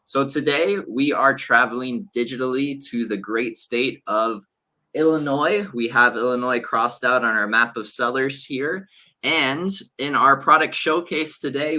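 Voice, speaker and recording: Cheery guy hosting a video call interview, bright forceful tone. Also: mid-range voice. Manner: upbeat, enthusiastic. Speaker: interview host.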